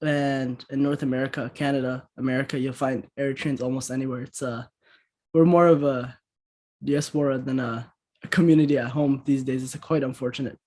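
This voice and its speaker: A young man, a podcast guest, speaking in a measured, hesitant way with fillers. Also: medium pitch, steady tone, darkish tone. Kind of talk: casual interview chat.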